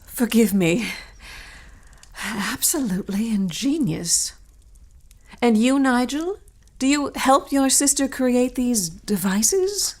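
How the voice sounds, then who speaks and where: An actress playing a poised, polite young governess in a period audio drama, formal and courteous. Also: female voice, on the high side, animated, slow pace, a little mellow. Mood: uneasy, polite.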